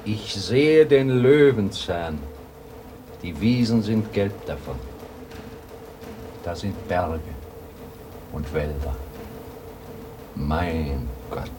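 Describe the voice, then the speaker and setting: A man's voice: low voice, lively intonation, very slow, long pauses, background noise, exaggerated voice. A man playing a troubled old grandfather in a German radio play, hesitant and wistful, longing for a world he remembers. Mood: shaken.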